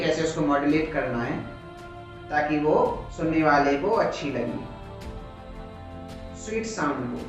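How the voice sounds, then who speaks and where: A man with an Indian accent teaching in an explanatory, motivational lecture style, slowing down deliberately on English phrases. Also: on the high side, muffled recording. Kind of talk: motivational lecture on communication skills. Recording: very echoey room, music behind.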